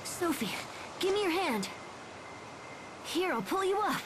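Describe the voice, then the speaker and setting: High, lively, eager young boy. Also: measured pace, noisy background, full character voice. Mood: upbeat. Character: eager, friendly young boy, earnest and cheerful. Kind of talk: English-dubbed anime game cutscene acting.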